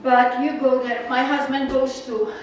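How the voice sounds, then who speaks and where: A woman's voice: high-pitched, level tone, unhurried. A woman with a Czech accent giving a casual, rambling slide talk to a naturalist club, full of fillers. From Czech Republic. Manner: chatty, rambling, with fillers and laughs. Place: meeting room. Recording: echoey room, voice from far off, noisy.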